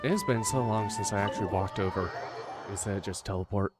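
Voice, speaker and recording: A man's voice: fairly low pitch, fairly quick. A man livestreaming a video game, casually commenting with muttered, playful asides. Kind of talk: casual live gameplay commentary. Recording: loud game music behind the voice. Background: loud video game music.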